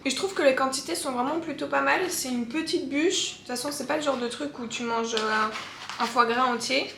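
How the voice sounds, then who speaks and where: Chatty high-voiced woman, echoey room. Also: fairly even intonation, a little fast, creaky, bright, forceful tone. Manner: chatty, candid, many fillers. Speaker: food-tasting vlogger. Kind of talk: casual taste-test chat. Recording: echoey room with faint background hum.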